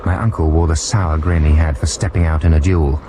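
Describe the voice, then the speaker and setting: An actor playing an earnest, excitable young nephew telling his adventure in a radio drama. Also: extremely low pitch, brisk. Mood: dramatic narration. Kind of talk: radio drama narration and dialogue. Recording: noisy radio broadcast, sea effects.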